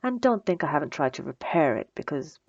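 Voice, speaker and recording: A woman playing a bossy, sarcastic supervillain with a soft spot, in a teasing, dry, conversational ASMR roleplay. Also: sits low, very animated, a little fast, breathy edge, no echo, muffled recording, heavily acted. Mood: bossy, sardonic. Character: female supervillain, bossy and sarcastic, secretly flustered by compliments. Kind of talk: ASMR roleplay audio drama.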